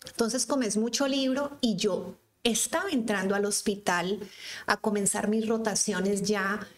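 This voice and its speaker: A woman's voice: mid-range voice, fairly even intonation, a little slow. A woman in her fifties from Cali, Colombia, with a Colombian accent, chatting as a doctor guest on an interview podcast and telling stories with frequent restarts. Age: 50s. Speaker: guest, physician. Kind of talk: casual conversational interview.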